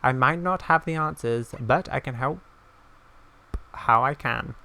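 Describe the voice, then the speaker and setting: A man reading aloud the part of a kindly old village woman in a fantasy game, gently and solemnly, with halting delivery. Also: medium pitch, gravelly, clear, resonant tone. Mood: gentle, caring.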